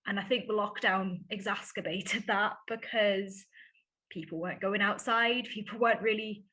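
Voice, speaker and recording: Woman, activist interviewee; bright timbre, thoughtful, unhurried delivery. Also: medium pitch, fairly even intonation. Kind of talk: documentary interview.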